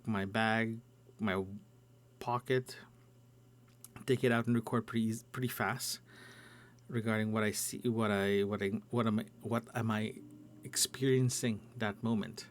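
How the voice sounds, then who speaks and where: A middle-aged man with a gravelly voice recording a casual solo audio log, haltingly thinking aloud and repeating words. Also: fairly low pitch, steady tone. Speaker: podcast host. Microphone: small handheld recorder.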